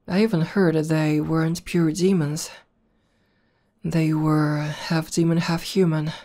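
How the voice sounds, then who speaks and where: Woman playing a low, slow-spoken demon girlfriend. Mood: casual, reflective. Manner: soft, halting, tender delivery. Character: tough, devoted tomboy female war demon girlfriend. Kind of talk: intimate ASMR roleplay acting.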